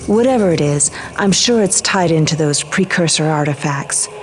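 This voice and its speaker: An actress playing a grave, composed and mysterious woman ally in a video game, speaking in a measured, formal way. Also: low voice, expressive, background noise. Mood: cool, serious. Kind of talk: video game cutscene acting.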